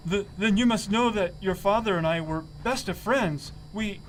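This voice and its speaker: Man playing cowardly older editor, high voice, spluttering, flustered bluster, audio drama, noisy recording. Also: moderate pace, natural voice. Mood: flustered, frightened. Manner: stammering, spluttering.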